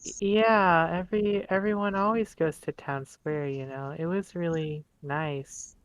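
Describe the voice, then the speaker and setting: A woman's voice: low-pitched, steady tone, unhurried, dry recording, rough recording. A woman playing a dazed teenage boy in a tabletop game, hesitant, vague and repetitive, cheerfully denying trouble.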